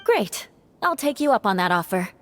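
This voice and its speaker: A woman voicing a proud, composed young woman knight in a video game, speaking calmly and dryly. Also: mid-range voice, moderate pace, faint music behind, lightly acted.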